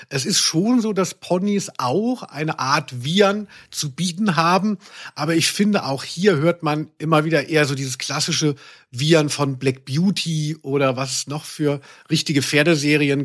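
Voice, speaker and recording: Guy with a highish voice chatting on a podcast, wordy, grumbles in mock outrage. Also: fairly bright tone. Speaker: podcast co-host. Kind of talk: humorous casual chat.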